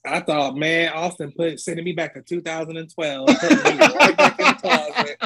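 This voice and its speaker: High-pitched, very lively man joking around. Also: normal speed, noisy background. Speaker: cast member being interviewed. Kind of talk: casual, joking chat.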